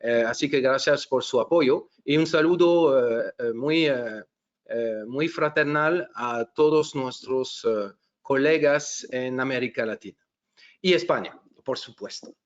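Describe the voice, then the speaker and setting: A middle-aged man from France with a French accent, presenting a webinar in a halting way with many fillers and restarts. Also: mid-range voice. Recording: dull lo-fi webinar audio.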